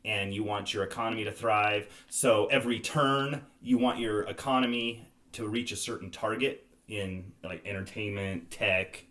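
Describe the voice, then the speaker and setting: Guy hosting a casual board game review podcast, kinda low voice. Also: normal speed, few pauses, fairly bright tone. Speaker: podcast host.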